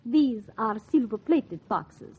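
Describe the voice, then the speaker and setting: A high-pitched, highly animated Frenchwoman buys furs with formal, amused disdain. Her phrasing is precise and mocking, in 1940s radio drama style. The recording is muffled and vintage. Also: slow pace, husky, heavily acted. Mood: laughing mockery. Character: haughty, amused French woman fur buyer.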